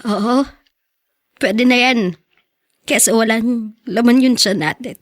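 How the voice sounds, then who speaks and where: A woman's voice: on the high side, slow pace, dry recording, clean studio sound. An actress playing a poor, troubled and devoted teenage girl in an audio drama, earnest and emotional. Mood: subdued, tender. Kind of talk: melodramatic Tagalog audio drama acting.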